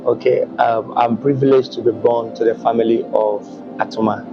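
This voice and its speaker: A male prophet from Gabon answering interview questions in a measured way, pausing often with ums. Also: mid-range voice, level tone, dull audio, soft background music. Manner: measured, hesitant with many ums.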